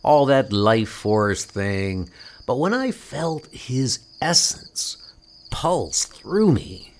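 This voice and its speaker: A man voicing a demented, lonely young man in a comic book dub, confessional and drawn-out. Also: medium pitch, sing-song, slight background noise, voice pushed up, heavily acted. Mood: brooding.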